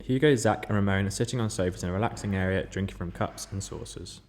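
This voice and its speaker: A man narrates the scene directions of a script. His voice is fairly low and he reads at a brisk pace. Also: darkish tone.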